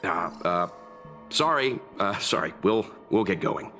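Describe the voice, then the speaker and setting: Game casting: scrupulous, courteous young traveler, deep register, lively intonation. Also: male voice, unhurried, over music. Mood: apologetic. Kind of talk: video game voice acting.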